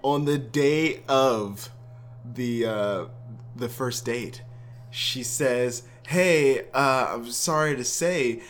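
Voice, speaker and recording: A man's voice: medium pitch, very slow. A man co-hosting a podcast, telling a rambling, self-deprecating dating story in casual chat and laughing as he goes. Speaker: podcast co-host.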